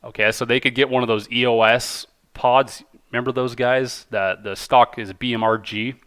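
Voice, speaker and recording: Bright-voiced man, casual commentary. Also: mid-range voice, steady tone, normal speed, clean tone. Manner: enthusiastic, informal, slangy. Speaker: video host, amateur stock commentator. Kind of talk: casual commentary, reading out quotes and reacting.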